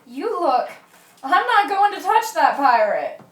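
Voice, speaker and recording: A woman's voice: high voice, big pitch swings, slow, distant mic, heavily acted. A woman playing a fearful, pious and superstitious male ship's cook in an amateur radio play. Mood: nervous, reluctant. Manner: fearful, pleading. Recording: echoey room, off mic, faint noise.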